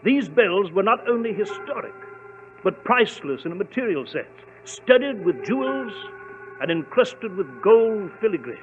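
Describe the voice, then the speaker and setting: Genial British mystery narrator, high voice, vintage radio storytelling, tinny echoing recording. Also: male voice, very animated, a little slow. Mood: narrating. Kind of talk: scripted 1940s radio drama narration. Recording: echoey, noisy, tinny old radio recording.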